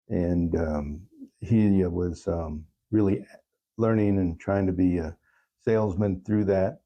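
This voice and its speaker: A man being interviewed as a guest, talking in a halting, thoughtful and earnest way. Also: deep voice, vocal fry, mellow, breathy edge. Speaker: podcast guest, funeral officiant. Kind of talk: reflective interview conversation.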